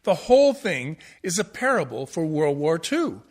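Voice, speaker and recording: Snooty musical theater professor, high voice, super condescending, lecturing everything, comedy radio play. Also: male voice, sing-song, moderate pace. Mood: smug, explaining. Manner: pompous, pedantic, condescending. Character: pompous, condescending male musical-theatre professor, absurdly over-analytical. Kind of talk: comic radio play acting as interview guest.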